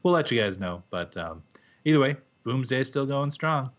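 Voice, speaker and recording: A man co-hosting a podcast, talking in a relaxed, hesitant way with plenty of ums. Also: medium pitch, normal speed, muffled recording. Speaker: podcast co-host. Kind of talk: casual podcast chat.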